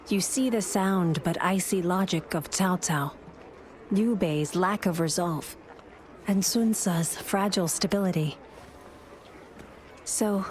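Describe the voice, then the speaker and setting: A woman's voice: on the low side, a little hiss or hum, lightly acted. A woman playing a thoughtful, idealistic adviser and companion to a hero in a video game, speaking in a reflective, composed way. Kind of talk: dramatic game narration and dialogue.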